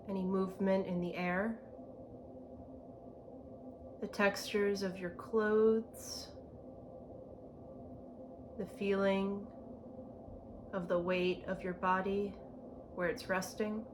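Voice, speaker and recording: A woman leading a guided meditation in a gentle, unhurried manner, with spacious phrasing and long pauses. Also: medium pitch, level tone. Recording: clear background ambient noise.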